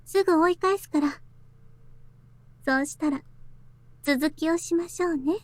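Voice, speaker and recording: A woman's voice: very high-pitched, brisk, no room sound. An actress voicing a possessive, haughty young woman in a visual novel. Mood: coaxing. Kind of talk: Japanese visual novel voice acting.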